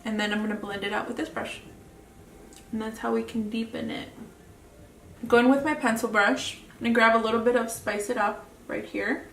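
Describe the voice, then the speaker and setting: A woman's voice: fairly high pitch, steady tone, normal speed, a bit bright. A woman with a Mexican accent chatting casually through a makeup tutorial, often trailing off mid-sentence. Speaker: beauty vlogger. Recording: echoey room, mic at a distance, faint hiss.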